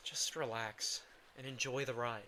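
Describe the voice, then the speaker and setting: A man's voice: mid-range voice, steady tone, a little slow, bright, forceful tone, slight background noise, rough recording. A man playing a guilt-ridden, protective male vampire in an intimate audio drama, with dry sarcasm and a weary, casual delivery. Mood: dry, teasing.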